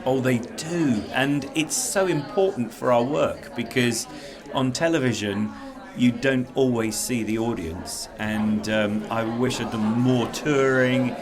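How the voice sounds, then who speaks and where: A man's voice: medium pitch, slow pace, music in the background. A man working as a children's presenter and director, chatting casually in an interview with an enthusiastic, rambling, self-deprecating manner.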